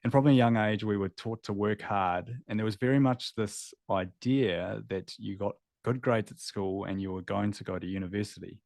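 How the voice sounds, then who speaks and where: Male guest, 30s, New Zealand accent, low voice, candid storyteller with fillers, dry recording. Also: normal speed, vocal fry, hardly any pauses, darkish tone, no room sound. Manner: candid, reflective, with filler words. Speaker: guest, former veterinarian. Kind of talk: podcast interview storytelling.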